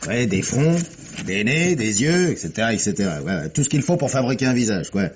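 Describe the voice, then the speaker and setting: An actor playing a gruff, colloquial but methodical police investigator in a radio drama, blunt and familiar. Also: male voice, mid-range voice, expressive, brisk, slight background noise, close to an everyday voice. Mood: patient, instructive. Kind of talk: 1950s French radio drama acting. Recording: muffled old radio recording.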